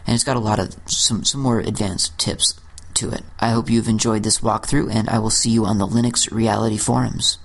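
A man closing a guest software tutorial podcast, explaining in a relaxed, instructive way. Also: lowish voice, brisk, creaky, rough recording.

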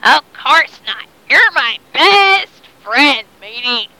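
A woman's voice: high voice, very animated, slow pace, bright, forceful tone, heavily acted, rough recording. A woman voicing a shifty, bumbling pirate captain in a made-up story, blustering and stammering. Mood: chummy.

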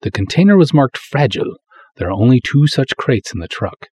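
A man's voice: mid-range voice, very animated, fairly quick, darkish tone, slightly rough, dry recording, voice pushed up. A man voicing a formal, haughty, secretive Egyptian doctor with stilted, courteous, ornate speech in a dramatic story reading. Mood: grave, pleading.

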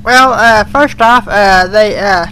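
A young podcast co-host with a bright, forward voice and middling pitch chats informally. There is faint noise behind the casual discussion. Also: slow, poor audio.

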